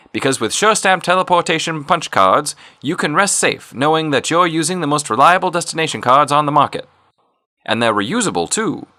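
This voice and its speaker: An upbeat, polished radio serial announcer, acted by a man delivers an emphatic sales pitch. His voice is raised a little in pitch and very bright, with lively swings of intonation.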